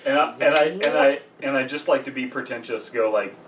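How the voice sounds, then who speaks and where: A man co-hosting a podcast, telling rambling casual anecdotes and repeating himself haltingly. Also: medium pitch, distant mic. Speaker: podcast co-host. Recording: slightly off-mic with faint noise.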